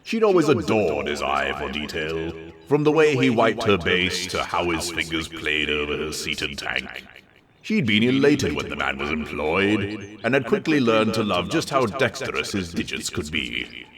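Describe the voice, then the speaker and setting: A man reading a comic story aloud in a theatrical, expressive narration with wry delivery. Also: sits low, normal speed, distant mic, crowd noise. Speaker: story reader.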